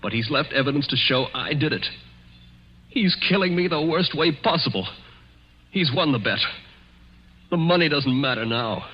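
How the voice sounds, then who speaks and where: An actor playing a tense young chemist accused of murder, speaking with urgent, earnest drama in a 1940s radio play. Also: male voice, fairly high pitch, animated, moderate pace, pauses often, slightly rough. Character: tense young male chemist, hunted and desperate. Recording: muffled 1940s radio broadcast with faint hiss.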